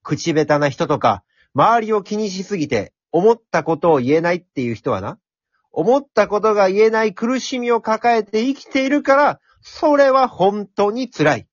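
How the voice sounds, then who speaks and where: A man voicing a brash, cheeky talking pencil in an amateur radio drama, speaking in rough, cocky, encouraging slang. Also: high voice, sing-song, normal speed, no echo, dull audio. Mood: boastful, explaining.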